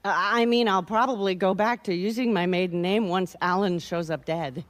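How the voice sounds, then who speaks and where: A woman's voice: medium pitch, fairly even intonation, normal speed, natural voice. An actress playing a tipsy, flippant wife in a radio mystery, rambling breezily through her answers. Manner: breezy, rambling, blasé about murder.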